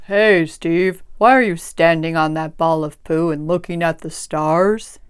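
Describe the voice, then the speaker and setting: Big inquisitive storybook animal, smooth, low-pitched, unhurried questioning voiced by a female reader. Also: steady tone. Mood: curious. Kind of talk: children's storybook read-aloud.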